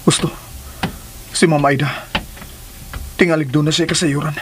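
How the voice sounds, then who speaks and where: A man acts out an anxious searcher in a radio drama. His voice is fairly high, and there is clear noise behind him. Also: fairly even intonation, moderate pace, lightly acted. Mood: anxious, searching. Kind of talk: Philippine radio drama acting.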